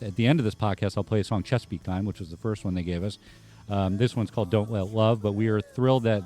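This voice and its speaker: A lower-pitched man hosts a casual talk show, rambling and restarting with plenty of fillers. Faint band music plays underneath his voice. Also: warm tone. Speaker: host. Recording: music bed behind.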